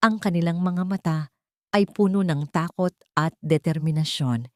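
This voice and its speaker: Dry, mellow, lower-pitched woman narrator. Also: no echo. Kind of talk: scripted dramatic story narration.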